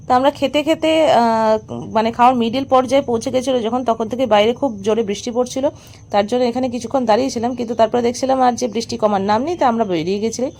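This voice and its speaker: A woman's voice: fairly high pitch, fairly even intonation, rapid-fire, gravelly, a little mellow. A young woman with a West Bengali accent, chatting casually to viewers as she narrates her daily vlog. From West Bengal, India. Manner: chatty, addresses viewers as friends. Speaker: vlogger. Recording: noisy outdoor traffic and rain ambience.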